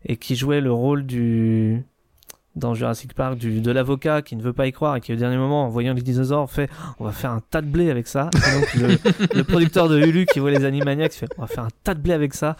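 A man's voice: mid-range voice, fairly quick, darkish tone. A man chatting casually and enthusiastically as a podcast guest, often repeating his words as he goes. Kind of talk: casual enthusiastic chat about cartoons.

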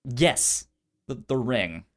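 A man's voice: mid-range voice, lively intonation, slow pace. A man co-hosting a casual film discussion, stuttering often as he repeats sounds and syllables. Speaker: podcast co-host.

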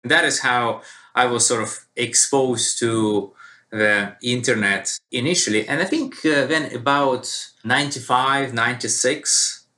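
A man's voice: mid-range voice, a little slow. A man from Russia with a Russian accent, a database expert telling stories in an interview and acting out quoted dialogue. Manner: animated storytelling with quoted dialogue. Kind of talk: conversational interview answer.

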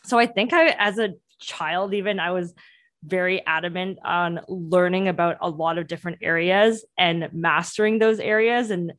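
A woman's voice: fairly low pitch, fairly even intonation, moderate pace, a bit bright, no echo. A young woman in her twenties whose first language is Cantonese, telling her story eagerly in a podcast interview with many restarts. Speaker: entrepreneur guest.

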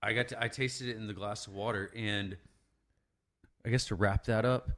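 A man's voice: fairly low pitch, steady tone, normal speed, a bit bright. A man in his thirties telling a long story in casual chat, rambling and swearing freely as a podcast co-host. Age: 30s.

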